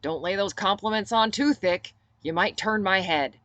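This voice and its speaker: A woman's voice: mid-range voice, normal speed, fairly bright tone, dry recording, pitched above the performer's own voice, natural voice. A woman reading an audiobook and voicing a firm, commanding male rebel fighter who likes to tease. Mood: dry teasing.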